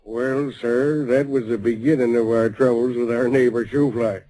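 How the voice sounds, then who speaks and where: An actor playing an easygoing old-west prospector who narrates and speaks in a folksy drawl in an old-time radio western. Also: male voice, medium pitch, steady tone, normal speed, warm tone, natural voice, rough recording. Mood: narrating. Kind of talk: 1950s radio drama acting.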